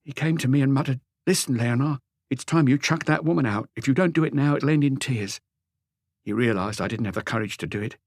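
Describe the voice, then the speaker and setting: A man voicing an anguished, lonely, meek husband in an audiobook, pleading and rambling haltingly through a confession. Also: medium pitch, darkish tone, dry recording, studio quality, natural voice. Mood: wounded, hopeless. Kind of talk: audiobook character dialogue.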